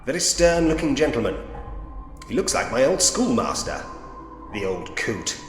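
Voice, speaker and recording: A male actor plays a droll, old-fashioned gentleman investigator in a Victorian mystery game. He muses aloud theatrically, with an animated, swooping melody at a medium pitch. The voice rings in a long, roomy reverb. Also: moderate pace, over music, lightly acted. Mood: droll, musing. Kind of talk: point-and-click game character voice acting, musing aloud.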